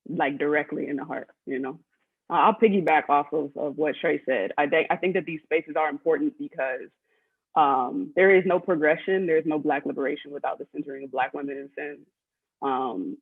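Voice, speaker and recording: A man argues emphatically on a panel, stacking his points one after another. His voice is gravelly and husky, pitched a little high, and it stays flat. It comes through a tinny, phone-like line with a dry sound. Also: no echo. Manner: emphatic, list-like, steady build of points. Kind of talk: panel discussion.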